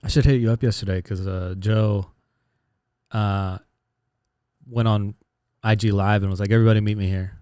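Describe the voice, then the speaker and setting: A man from the San Francisco Bay Area, a guest on a comedy podcast, talking in an easygoing, low-key way and laughing often. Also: steady tone, moderate pace, dark tone, smooth, no room sound, studio quality. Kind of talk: casual chat.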